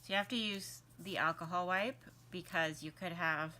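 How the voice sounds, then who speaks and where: A woman's voice: lowish voice, moderate pace, pushed and bright. A young woman co-hosting a vlog, playful and teasing, explaining things casually and sometimes dropping into a whisper. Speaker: vlog co-host. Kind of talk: casual chat.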